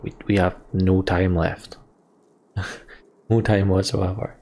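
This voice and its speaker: A man's voice: low-pitched, little pitch movement, slow pace, a little mellow. A man hosting a let's play, reading game text aloud and commenting casually on his play. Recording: game music behind the voice. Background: game music.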